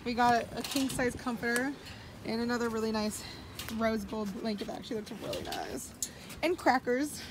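Upbeat female co-vlogger in her twenties, higher-pitched and chirpy, phone mic outdoors with loud noise behind. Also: steady tone, moderate pace. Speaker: travel vlogger. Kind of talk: casual road-trip vlog chat. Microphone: phone held at arm's length.

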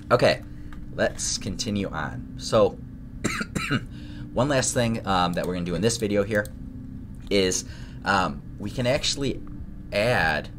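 Male lecturer, 30s, sick with a cold, very low voice, loose chatty delivery, music bed. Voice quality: deeper than usual from illness. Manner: relaxed, loose, self-deprecating, many fillers. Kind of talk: screen-share coding tutorial. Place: home office at a desk. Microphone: headset.

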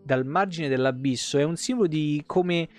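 A man's voice: fairly high pitch, normal speed. A man, livestreaming as he reads game lore aloud expressively, with offhand asides and self-corrections. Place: indoor room, webcam livestream. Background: soft guitar game music.

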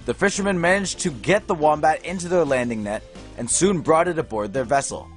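A man's voice: on the high side, lively intonation, brisk, faint music behind. A man reading scripted narration for light news stories in a playful, storytelling way.

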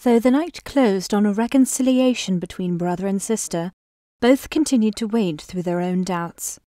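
A woman playing the narrator of a fantasy audio drama, reading scripted lines in a solemn, measured, formal way. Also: medium pitch, mellow, dry recording, clean studio sound, close to an everyday voice.

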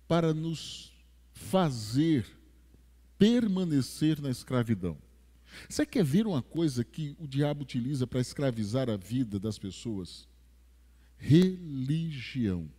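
A man in his forties from Brazil, with a Rio de Janeiro accent, preaching a sermon on a church stage through a headset mic, building to strong emphasis and then dropping to slow, hushed lines. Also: medium pitch. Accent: Rio de Janeiro Portuguese. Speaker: pastor. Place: large church stage with a drum kit. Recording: long church reverb.

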